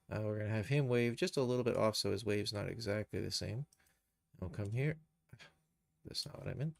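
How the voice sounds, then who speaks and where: A man with a fairly low, creaky voice walks through software in a casual live tutorial. He talks to himself as he works, hesitating along the way, and the sound is close and dry. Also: no echo. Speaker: streamer, animation tutor.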